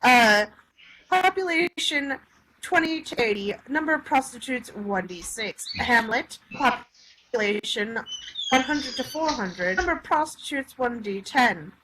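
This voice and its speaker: A woman's voice: on the high side, expressive, measured pace, sharp tone, poor audio. A woman casually reading a tabletop game rulebook aloud, stumbling here and there over the text. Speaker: reader of a tabletop game supplement. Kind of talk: casual read-aloud with joking asides. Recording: clear background noise.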